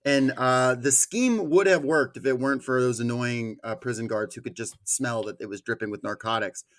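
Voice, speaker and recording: A man's voice: medium pitch, moderate pace. A man hosting a podcast in a casual, slangy, joking way. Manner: informal, slangy, jokey. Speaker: podcast host.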